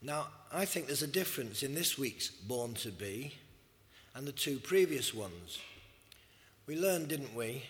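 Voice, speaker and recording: A man delivering a sermon at an unhurried, deliberate pace, his voice a little hoarse. He reads scripture aloud between his points. The sound carries a long reverb from the church hall around him. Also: mid-range voice, big pitch swings, fairly bright tone. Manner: slow, measured preaching with scripture readings. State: slightly hoarse. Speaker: preacher.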